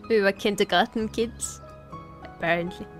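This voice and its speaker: A woman chatting casually as she livestreams a game, playfully repeating her phrases to viewers. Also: medium pitch.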